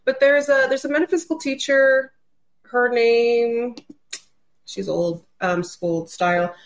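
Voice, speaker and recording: A woman's voice: on the high side, unhurried. A woman speaking as a podcast guest, explaining her ideas in a casual, loose, conversational way with many filler phrases. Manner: informal, loose, peppered with "like" and "you know".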